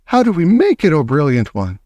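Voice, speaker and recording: A chorus of fawning witches, done by a man, cries out in high, excited voices. Their praise leaps about in pitch, in a dry, close recording. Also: a little fast, dry recording. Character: chanting crowd of adoring witches.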